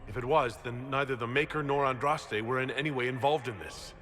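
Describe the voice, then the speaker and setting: A man's voice: medium pitch, steady tone, a little fast, bright, forceful tone, natural voice. A man playing a blunt, skeptical hero and leader in a video game, questioning what he is told. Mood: agitated, skeptical. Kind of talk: dramatic video game voice acting.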